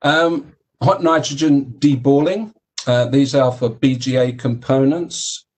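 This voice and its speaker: A man presents engineering research at a conference. He talks steadily through his slides but stumbles often, repeating words and filling pauses. His voice sits in an ordinary middle pitch. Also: fairly even intonation, darkish tone. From United Kingdom. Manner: halting, many fillers and repeated words. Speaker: keynote speaker, engineering professor.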